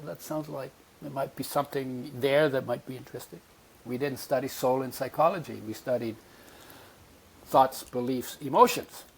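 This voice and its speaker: An elderly man in his eighties, from Poland, recounts his past as a podcast guest. His voice sits at an ordinary middle pitch, and he slips into the voices of people he remembers. A faint hiss of noise runs under the recording. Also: poor audio. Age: 80s. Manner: animated storyteller, acts out remembered voices. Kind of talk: storytelling in a podcast interview.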